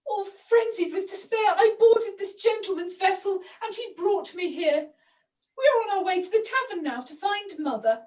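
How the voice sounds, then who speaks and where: A woman's voice: very high-pitched, moderate pace, a little mellow. Woman acting young runaway maid, overwrought, florid theatrical speech, distant, muffled recording. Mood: indignant, distressed. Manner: florid, old-fashioned, plaintive speech. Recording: echoey distant muffled video-call audio.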